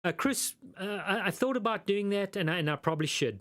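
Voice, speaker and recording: A man's voice: high-pitched, lively intonation, fairly quick, fairly bright tone, dry recording. A man from South Africa with a South African accent, hosting a casual live stream and chatting with viewers, rambling and self-deprecating with many ums and restarts. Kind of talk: casual live chat reading viewer comments.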